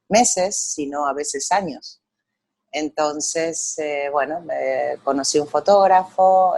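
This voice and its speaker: A middle-aged woman from Argentina with an Argentine accent, a photographer telling her story in an interview, pausing often with fillers as she remembers. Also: low-pitched, darkish tone. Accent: Argentine Spanish. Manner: reflective storytelling with frequent pauses and fillers. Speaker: guest photographer. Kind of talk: conversational interview over a call.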